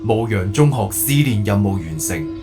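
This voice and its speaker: A man's voice: fairly low pitch, lively intonation, moderate pace, over loud music, close to an everyday voice. A man voicing an impersonal game-system announcer in an audio drama, formal and detached. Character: impersonal male game-system announcer reporting mission results.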